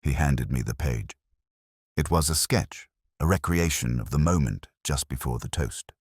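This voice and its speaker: A man reading scripted first-person mystery fiction as an audiobook narrator, in measured, deliberate phrasing. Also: extremely low pitch, husky, no echo, studio quality.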